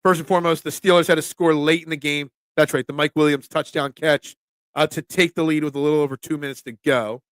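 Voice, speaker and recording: A man's voice: on the high side, fairly even intonation, fast, no room sound. A young man with a Philadelphia accent hosting a casual sports talk stream, chatting loosely and answering viewers by name. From Philadelphia area. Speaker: sports talk show host.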